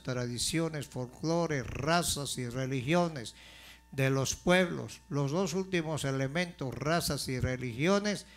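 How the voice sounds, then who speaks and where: A male pastor delivering a sermon in a slow, deliberate way, building to forceful emphasis. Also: fairly high pitch.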